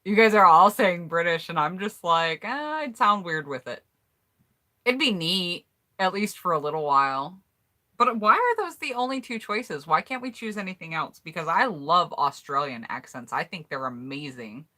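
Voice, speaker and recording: A woman's voice: fairly low pitch, lively intonation, moderate pace, bright, forceful tone. An American woman chatting casually on a home mic, reading out viewers' answers in an upbeat, rambling way. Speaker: YouTuber hosting a collab tag.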